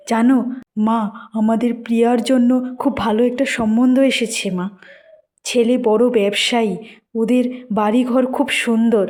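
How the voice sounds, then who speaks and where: A woman's voice: fairly high pitch, darkish tone, natural voice. A woman voicing a cheerful, caring young man, an earnest elder brother in a cartoon.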